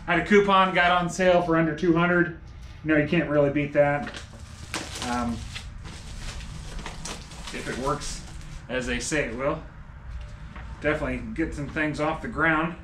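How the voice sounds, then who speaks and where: A man's voice: fairly high pitch, fairly even intonation, normal speed, pauses often, a bit bright. A middle-aged man chatting casually as a vlogger, plain-spoken and laid-back. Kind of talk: casual product review chat. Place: cluttered home garage. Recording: roomy garage echo, background noise.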